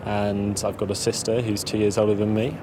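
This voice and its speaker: A calm young man from England answering interview questions somewhat hesitantly outdoors in a city. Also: fairly low pitch, brisk. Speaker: street interviewee. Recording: clear outdoor urban traffic noise.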